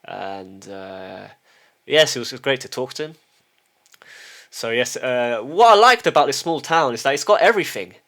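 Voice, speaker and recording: Halting middle-aged man musing aloud. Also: mid-range voice, lively intonation, fairly bright tone. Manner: halting, repeats words, ends points with a questioning "yes?". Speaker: vlogger. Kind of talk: casual spoken monologue. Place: lives in a small town.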